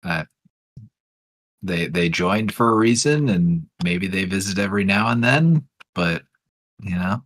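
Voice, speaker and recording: A man with a clear, easily understood voice, speaking as a guest in a casual interview, reserved and careful with his words. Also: sits low, expressive, a little slow, long pauses, fairly bright tone. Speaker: guest developer.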